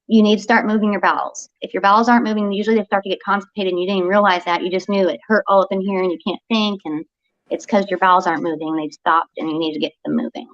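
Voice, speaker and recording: A woman speaking as a health coach guest, animated and often restarting her sentences. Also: mid-range voice, fast, muffled recording. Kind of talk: casual health talk show chat.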